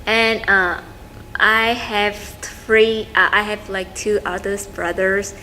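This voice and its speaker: A young woman from Vietnam with a Vietnamese accent, talking casually and haltingly as she searches for words. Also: medium pitch, steady tone, bright, forceful tone. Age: young adult. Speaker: student interviewee. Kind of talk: casual, informal talk about family. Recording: clearly noisy room recording.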